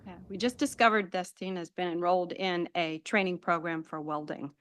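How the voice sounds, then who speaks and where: A woman's voice: on the low side, fairly even intonation, normal speed, fairly bright tone, smooth, dry recording. A woman teacher presenting her adult education program at a school board meeting, explaining in an informal, enthusiastic way.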